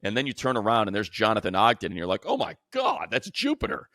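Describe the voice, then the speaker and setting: A male sports announcer chats casually and with enthusiasm about football. His voice sits very low with a gravelly fry, and it is recorded dry and close. He talks in a colloquial, conversational storytelling way. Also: huge pitch swings, quick pace, pushed and bright, no room sound. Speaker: sports show host and football play-by-play announcer.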